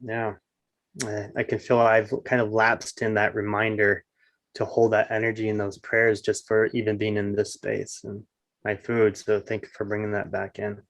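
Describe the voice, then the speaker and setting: Middle-aged male host, low voice, appreciative and affirming, dry video-call sound. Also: completely flat delivery, moderate pace, fairly bright tone, dry recording. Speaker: interviewer, community host. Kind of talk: warm spiritual interview chat. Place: indoor room with wall hangings.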